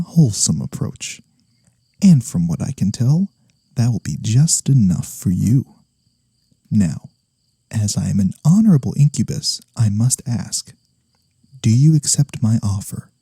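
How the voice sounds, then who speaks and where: A man acts out a courteous incubus demon in an ASMR fantasy roleplay. The voice is low, very mellow and close, almost without room sound. He speaks slowly and formally, with a gentle teasing edge. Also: slightly rough, dry recording, exaggerated voice.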